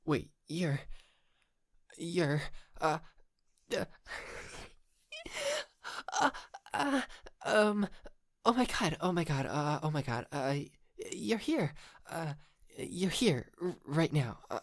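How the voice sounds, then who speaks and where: A man playing a shy, polite, lovestruck yandere kidnapper in an intimate ASMR roleplay, stammering, hesitant and softly doting. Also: fairly high pitch, animated, takes it slowly, pauses often, no room sound, clean studio sound. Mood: flustered, overjoyed.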